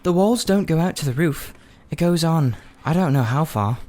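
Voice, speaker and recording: Man voicing a plucky young girl, pitched up. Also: animated, normal speed, dark tone, natural voice. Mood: matter-of-fact. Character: plucky, practical young girl in a children's storybook.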